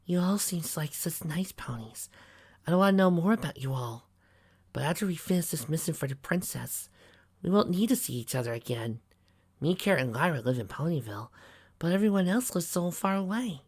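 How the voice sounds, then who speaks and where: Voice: mid-range voice, lively intonation, fairly quick, husky, voice pushed up. A reader voicing a sweet, shy, friendly pony mare with an earnest manner, in a fantasy story. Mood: wistful.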